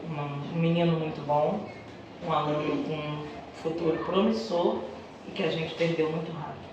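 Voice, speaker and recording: A woman's voice: deep voice, fairly even intonation, moderate pace, dull audio. A middle-aged Brazilian woman, a schoolteacher speaking conversationally as an interview guest and telling personal stories. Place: echoey indoor room, far from the mic. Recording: echoey room, distant mic, noisy background.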